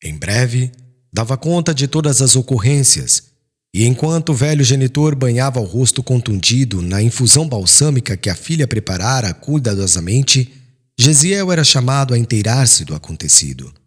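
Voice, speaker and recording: A man's voice: medium pitch, very animated, moderate pace. A man reading an audiobook as a solemn, formal and dramatic literary narration.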